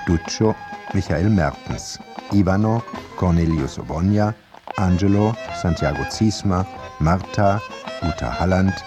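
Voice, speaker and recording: A male announcer reads radio play credits in a deep voice. He is slow, measured and formal. Music plays clearly behind him. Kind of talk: scripted radio drama credits reading.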